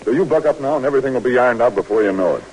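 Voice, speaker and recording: An actor playing a brisk, stern but caring American army lieutenant in an old radio drama, blunt and commanding yet coaxing, on a hissy old recording. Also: male voice, mid-range voice, animated, darkish tone, close to an everyday voice, rough recording. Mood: brisk, scolding.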